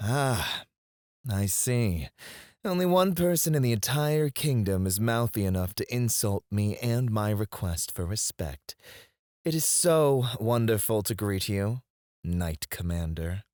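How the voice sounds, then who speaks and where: A man's voice: lowish voice, expressive, slow pace, a little mellow, no echo, studio quality. An actor playing a haughty, sarcastic, prickly and flirtatious male court alchemist in an ASMR fantasy roleplay, with a sardonic drawl. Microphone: close studio mic, dry.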